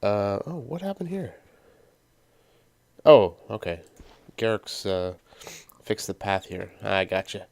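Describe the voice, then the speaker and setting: A man's voice: on the low side, very animated, slow, vocal fry, pauses often, darkish tone, no room sound. A man hosting a gameplay series in casual, rambling commentary with a stuffy nose from a sinus cold. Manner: rambling, many ums and uhs. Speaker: let's play host.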